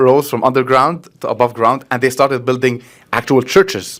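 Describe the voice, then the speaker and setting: A man's voice: medium pitch, fairly even intonation, moderate pace, fairly bright tone, a little hiss or hum. A man with a Lebanese accent, a priest explaining earnestly and emphatically in a conversational interview. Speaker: Orthodox priest.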